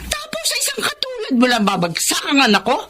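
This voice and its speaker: A woman's voice: very high-pitched, wildly swooping pitch, fast talker, background noise, heavily acted. An actress playing a domineering, scornful Filipino mother who scolds with mocking questions in a melodramatic radio drama. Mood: indignant, insulting.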